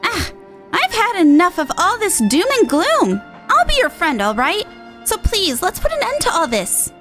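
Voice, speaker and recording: A woman voicing an excitable, cheerful little pixie from a game, in a bubbly, theatrical manner, easily exasperated. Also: extremely high pitch, moderate pace, music in the background, pitched above the performer's own voice, exaggerated voice. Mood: pleading. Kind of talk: reading game dialogue in character.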